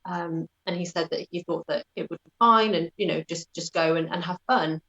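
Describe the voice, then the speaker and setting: A woman's voice: fairly low pitch, moderate pace, fairly bright tone, lo-fi sound. A British woman answering as an interview guest in a conversational, thoughtful, self-effacing way.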